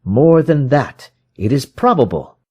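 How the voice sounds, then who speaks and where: A man's voice: mid-range voice, big pitch swings, a little slow, mellow, husky, highs cut off. A man reading a storybook detective who is keen, confident and methodical, reasoning aloud with emphatic, probing questions. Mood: urgent, emphatic.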